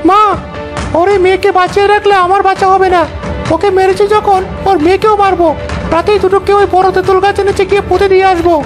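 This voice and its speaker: A woman voicing a cruel, violent village husband in an animated horror story, harsh and threatening. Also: very high voice, fast. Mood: callous. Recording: music under the voice.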